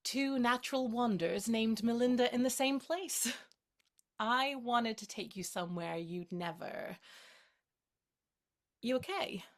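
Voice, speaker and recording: A woman's voice: on the high side, a little slow, pauses often. A woman acting in a naturalistic drama as a playful, caring best friend with a light, teasing, encouraging manner.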